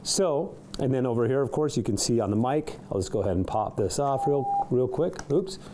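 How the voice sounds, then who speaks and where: A man's voice: medium pitch, lively intonation, fairly quick, background noise. A man giving a casual, unscripted product demonstration in a studio, slightly hesitant as he works through the features. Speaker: product reviewer demonstrating a CB radio. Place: indoor studio.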